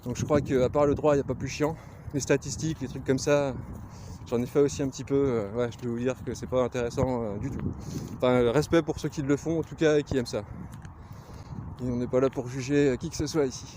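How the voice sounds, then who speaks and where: A man's voice: mid-range voice, fairly even intonation, brisk. A man in his thirties from Lorraine with a Lorraine accent, chatting casually to camera as a glassblower vlogger, rambling with many hesitations. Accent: Lorraine French. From Lorraine, France. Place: outdoors on a countryside walk. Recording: outdoor noise with passing vehicles.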